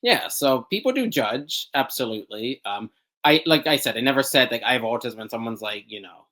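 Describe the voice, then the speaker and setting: A man's voice: medium pitch, expressive, a little fast, bright, forceful tone. Young man rambling with frequent laughs. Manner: rambling, self-deprecating, laughs often mid-sentence, many um fillers and restarts. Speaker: podcast guest. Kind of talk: candid personal interview talk.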